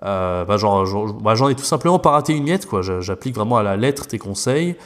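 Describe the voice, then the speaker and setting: A man's voice: on the low side, lively intonation, brisk. A French man, a language teacher, talking casually as a podcast guest, rambling with many fillers and restarts.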